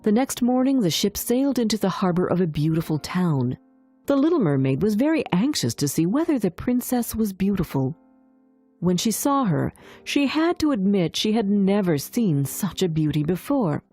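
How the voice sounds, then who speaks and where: A woman's voice: mid-range voice, sing-song, moderate pace, dark tone, dry recording, soft background music. A woman narrating a scripted fairy tale as a storyteller for language learners.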